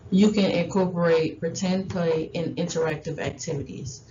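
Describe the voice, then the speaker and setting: A woman's voice: lowish voice, fairly even intonation, normal speed. A woman whose first language is Haitian Creole, giving parenting advice in an informal presentation, rambling with lots of fillers and restarts. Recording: dull lo-fi recording with faint noise.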